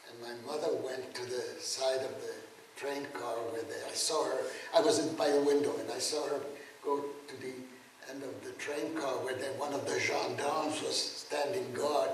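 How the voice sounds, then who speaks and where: A man in his eighties, from Czechoslovakia with German as his first language, giving a spoken testimony with halting speech full of fillers and restarts. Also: medium pitch, fairly even intonation, slight background noise. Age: 80s. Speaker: guest speaker, Holocaust survivor. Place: lecture room. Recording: very echoey room, mic far off.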